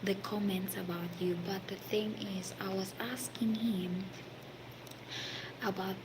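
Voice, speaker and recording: Filipino woman telling a story real slow, lots of uh and um, kinda whispery at times, noisy background. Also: medium pitch, steady tone. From Philippines. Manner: halting, many fillers, partly whispered. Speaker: hospitality worker telling a story. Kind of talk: casual storytelling to a friend.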